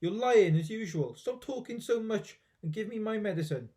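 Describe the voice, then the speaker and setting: A man's voice: high-pitched, animated, normal speed, voice pushed up. A man voicing a grumpy, nasty old grandmother who snaps and screeches in a children's storybook. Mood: snappish, bossy. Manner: snappish, shouting, scolding.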